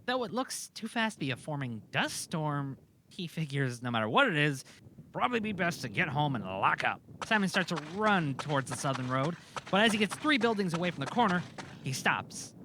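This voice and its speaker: A man's voice: a little high, big pitch swings, a little fast, noisy background. A man narrating a scripted audio drama in an informal, amateur reading style.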